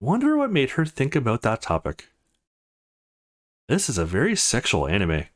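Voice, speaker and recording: Man reading as a brooding young man, animated pitch. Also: normal speed. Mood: flustered, aroused. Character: young man, visual novel protagonist, conflicted and brooding. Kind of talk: reading visual novel inner monologue.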